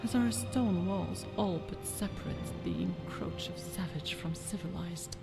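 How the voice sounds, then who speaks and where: A woman's voice: lowish voice, wildly swooping pitch, a little slow, gravelly, exaggerated voice. An actress playing a haughty, scheming fantasy noblewoman in a video game, with imperious, formal, disdainful diction. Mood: haughty, composed. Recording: music behind the voice.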